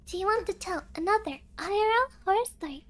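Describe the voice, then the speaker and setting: Very high, cutesy woman streamer. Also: quick pace, fairly bright tone. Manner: cutesy, hesitant, peppers English with Japanese interjections. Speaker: VTuber streamer hosting a chat. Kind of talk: casual livestream chat.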